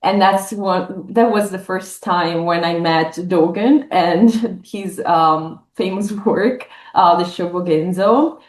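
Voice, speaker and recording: Scholar guest: Italian-accented woman in her thirties, low-pitched, reflective, recorded in a reverberant room. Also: takes it slowly, hardly any pauses. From northern Italy. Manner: thoughtful, many fillers, warm laughs. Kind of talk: academic interview.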